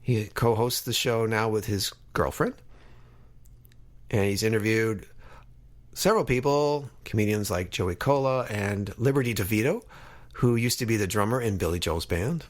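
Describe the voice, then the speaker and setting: A man's voice: lowish voice, moderate pace. A man of about fifty from Long Island, New York, hosting a podcast with introductions and casual interview questions.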